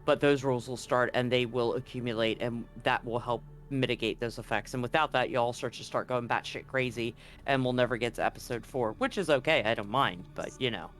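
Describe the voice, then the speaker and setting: A man narrating a tabletop roleplaying game as game master, casually and somewhat haltingly, feeling for his words. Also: mid-range voice, fairly bright tone.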